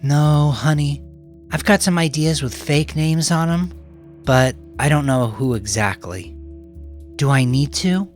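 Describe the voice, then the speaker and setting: A man acts out a world-weary middle-aged woman of the streets in a crime audiobook. She is scornful and brash, her voice pushed higher, with faint music behind. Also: unhurried, natural voice. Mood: wary, scornful. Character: hard-bitten, defiant, world-weary middle-aged woman sex worker. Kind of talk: audiobook character voice.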